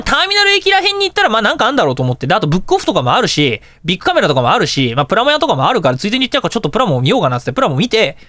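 Very animated, fast-talking male radio host. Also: high voice, no room sound. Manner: animated, chatty storytelling with colloquial asides. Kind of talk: casual radio talk, telling anecdotes.

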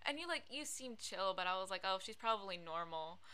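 A young woman co-hosting a casual podcast, chatty and quick to agree with her friend. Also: medium pitch, lively intonation, background noise. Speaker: podcast co-host. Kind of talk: casual chat.

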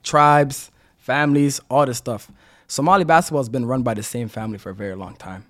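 A man in casual podcast talk, making his point forcefully with rhetorical questions. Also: medium pitch, a little fast. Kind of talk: casual chat, arguing a point.

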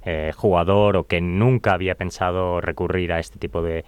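A man speaking as a lawyer on a radio panel, halting with fillers and given to joking. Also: low voice, fairly even intonation, clear, resonant tone, no room sound. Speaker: lawyer guest.

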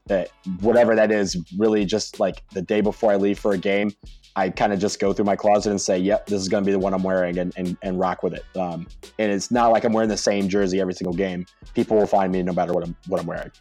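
An American man talking casually as a podcast guest, telling animated stories and laughing often. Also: low voice, fast, no echo, faint music behind. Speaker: podcast guest, soccer supporter leader. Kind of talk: casual conversation.

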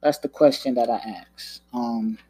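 A man with a New York accent talks loosely through a solo podcast at home, full of filler and repeated "you know what I'm saying." His voice sits at an ordinary pitch and sounds close and dry with no room echo. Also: steady tone, a little slow, dark tone, husky. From Long Island, New York. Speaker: podcast host. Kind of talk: casual solo podcast rant.

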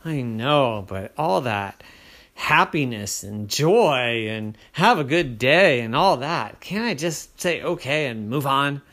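A man's voice: medium pitch, lively intonation, slow pace, a bit bright, pitched above the performer's own voice. Picture a sulky little boy who'd rather grunt than chat, complaining whenever he's pushed to be polite, as a storyteller puts him on. His voice swings up and down between the gripes, and he takes his time getting the words out. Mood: exasperated. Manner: reluctant, mumbling, complaining. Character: grumpy, tight-lipped young boy.

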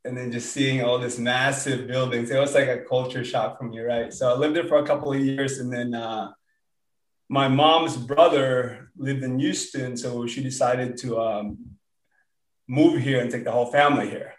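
A man from the Philippines speaks as an interview guest, easygoing and friendly, his talk loose with little fillers. He sits back from the mic in a room that echoes, so his mid-pitched voice comes across roomy and distant. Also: moderate pace. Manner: relaxed, friendly, with frequent "uh" and "like". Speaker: podcast guest.

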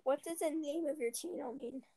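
A woman's voice: sits high, normal speed, dark tone. A woman host in a casual online interview who asks short questions and murmurs agreement. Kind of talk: casual chat.

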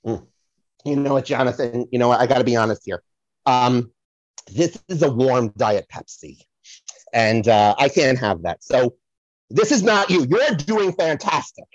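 A performer playing a flamboyant, gushing, scatterbrained celebrity psychic in a comic audio play, rambling and repeating phrases with theatrical drawn-out words. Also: mid-range voice, lively intonation, no echo, dull audio. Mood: indulgent, chatty. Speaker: podcast guest playing a psychic. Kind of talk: improvised comic audio play, podcast spoof.

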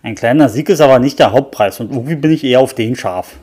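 A man's voice: mid-range voice, fast talker, a little mellow, slightly rough, close to an everyday voice. A man voicing an eager, slightly impatient male sidekick in a crime story he reads aloud.

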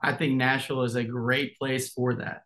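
A man's voice: medium pitch, flat delivery, normal speed, a bit bright, slight background noise. Laid-back guy in his twenties, jokey podcast guest. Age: 20s. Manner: easygoing, joking, laughs often. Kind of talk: casual interview chat.

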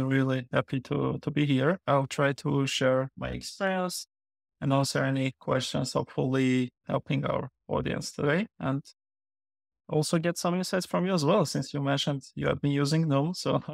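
Gravelly-voiced man. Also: medium pitch, fairly even intonation, moderate pace, no echo, clean studio sound. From Armenia. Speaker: guest, product lead. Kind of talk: podcast interview.

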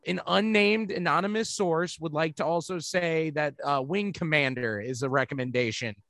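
A man's voice: on the high side, normal speed, fairly bright tone, dry recording. A man co-hosting a podcast, rambling through casual movie chat with lots of fillers and some swearing. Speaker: podcast co-host.

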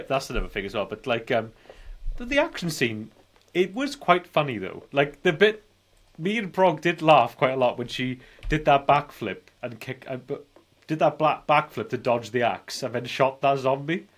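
A man's voice: medium pitch, sing-song, normal speed, a bit bright. A man in his forties chatting casually as a film podcast co-host, rambling and often repeating himself. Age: 40s. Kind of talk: casual film review chat.

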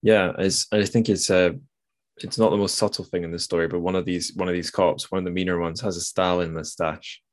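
A man's voice: low voice, flat delivery, a little fast, no echo. A man hosting a casual literary discussion podcast, thinking aloud in a tentative, hedging way. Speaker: podcast host.

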